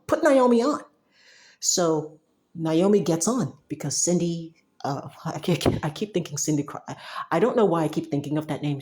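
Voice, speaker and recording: Low-voiced animated woman. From Cameroon. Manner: animated and emphatic, with frequent restarts and laughs. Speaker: software developer talking to camera. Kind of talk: casual monologue.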